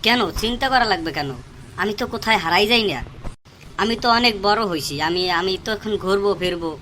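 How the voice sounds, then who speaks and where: A woman's voice: medium pitch, quick pace, fairly bright tone, a little hiss or hum, close to an everyday voice. A woman voicing an idle young layabout son in a cartoon, defensive and evasive. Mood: defiant. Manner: defensive, stammering excuses. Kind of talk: amateur cartoon voice acting in colloquial Bengali.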